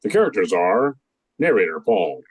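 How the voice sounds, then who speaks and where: A man's voice: sits low, sing-song, moderate pace, husky, no room sound. Dry-sounding man announcing radio drama. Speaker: narrator and announcer. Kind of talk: scripted radio drama narration.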